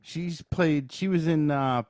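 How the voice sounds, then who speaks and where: A man's voice: a little high, slow, darkish tone, dry recording, studio quality. A man co-hosting a podcast, reviewing in a casual, informal way and thinking aloud as he goes. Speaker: podcast co-host. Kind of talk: casual chat, movie review.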